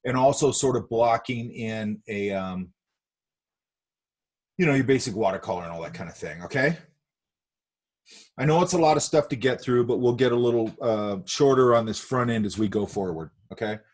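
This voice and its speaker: A man's voice: mid-range voice, normal speed, a little mellow. A man teaching an online illustration class, rambling with many fillers and restarts, sniffly from a cold, on a video call. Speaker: art instructor.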